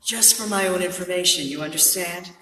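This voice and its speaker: Sultry female club singer played by an actress, noir radio drama client: anxious, coy, forceful tone, distant in an echoey hall. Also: lowish voice, brisk. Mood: coy, grateful.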